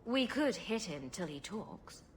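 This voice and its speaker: Game heroine: quick-witted rogue, very animated mid voice, sarcastic and playful, slight noise behind. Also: female voice, medium pitch. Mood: playful sarcasm. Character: witty, sarcastic female rogue adventurer. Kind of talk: dramatic video game acting.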